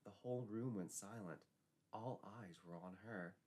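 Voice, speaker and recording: A man's voice: on the low side, a little slow, mellow, husky. A man narrating a folklore tale as a storyteller, in a dramatic scripted style.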